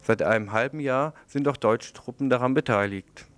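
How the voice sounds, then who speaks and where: Man presenting radio news in a middling pitch. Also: moderate pace, dry recording. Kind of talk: news reading and programme announcements. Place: radio studio.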